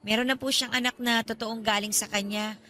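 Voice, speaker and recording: A woman's voice: on the high side, fairly even intonation, brisk, pushed and bright. An actress playing a polite, guarded young female college student in a radio drama, speaking with hesitant reserve. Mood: subdued. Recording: music under the voice.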